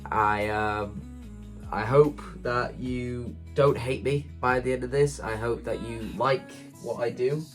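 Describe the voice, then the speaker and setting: A man's voice: mid-range voice, fairly even intonation, slow pace. A man with a mid-range voice, slightly congested from hay fever. He talks casually and modestly, rambling a little as he explains his ideas like a vlogger. Faint plucked guitar music plays behind him. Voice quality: slightly stuffy, congested nose. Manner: informal, self-deprecating, rambling. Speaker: video creator presenting a stage play concept.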